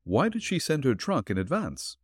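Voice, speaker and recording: A man voicing a calm, shrewd detective who questions dryly and evenly. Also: mid-range voice, husky, no room sound, voice pushed up. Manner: measured, dry questioning.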